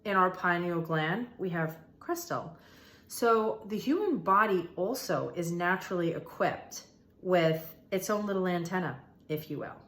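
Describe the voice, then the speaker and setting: A woman's voice: lowish voice, expressive, measured pace. A woman hosting an informal spiritual explainer, talking to viewers in a conversational, emphatic way and repeating phrases for emphasis. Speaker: host of a manifestation and meditation channel. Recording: roomy, echoey room sound.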